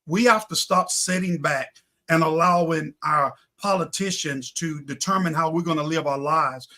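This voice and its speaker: A man with a high, forceful voice talks like a pastor on a talk show. He builds his points in repeated, preacherly phrases and speaks with conviction. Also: normal speed. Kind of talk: impassioned political and faith talk.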